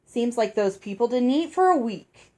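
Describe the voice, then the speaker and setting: A woman voicing a grown female cook in a storybook, brisk, evasive and plain-spoken. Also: a little high, animated, darkish tone, lightly acted.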